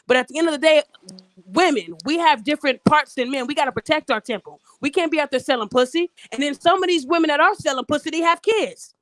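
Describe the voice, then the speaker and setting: A woman's voice: high voice, fast, a bit bright, no room sound, low-quality recording. A woman co-hosting a live podcast, getting over a cold, talking in a blunt, emphatic and profane way. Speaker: podcast co-host. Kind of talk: opinionated live podcast talk.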